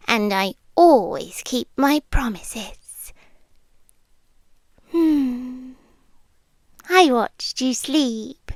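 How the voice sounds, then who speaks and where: A possessive British yandere girlfriend, acted by a woman, speaks in a high, hushed voice for an ASMR roleplay. She draws her words out very slowly and often slips into whispers, sweetly menacing. The sound is close and dry. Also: no echo, heavily acted. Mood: tender menace. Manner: slow, deliberate, hushed, often slipping into whispers. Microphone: close microphone, dry.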